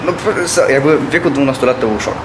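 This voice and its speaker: A man's voice: mid-range voice, quick pace, close to an everyday voice. A young man playing a chatty, keen teenage schoolboy in casual radio-play dialogue. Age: young adult. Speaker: high school student actor in an amateur radio play. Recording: noisy ambient background.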